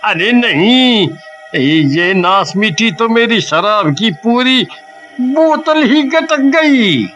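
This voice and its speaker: A high-pitched, blustering village drunkard husband from a kids' cartoon, played by a man. He pleads and scolds cowardly in slow, stretched cries, and his pitch swings wildly. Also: expressive, a bit bright, muffled recording, faint music behind, full character voice. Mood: scolding. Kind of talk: Hindi children's cartoon voice acting.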